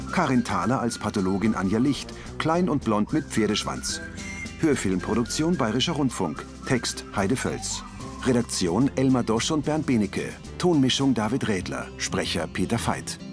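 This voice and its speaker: A man narrating a scripted audio description in terse sentences. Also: on the low side.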